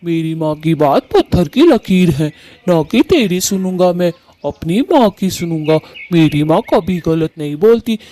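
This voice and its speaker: A man voicing Kalu, a harsh, bossy male bird husband in a cartoon who scolds loudly. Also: a little high, animated, unhurried. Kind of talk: Hindi cartoon voice acting.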